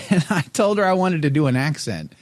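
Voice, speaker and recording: A middle-aged man hosts a podcast in a relaxed, joking way, his voice pitched a little high and swinging widely in tone. The recording is close and dry, with no room sound around it. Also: normal speed, no room sound. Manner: playful, jokey, reads viewer comments aloud. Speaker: podcast host. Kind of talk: casual chat.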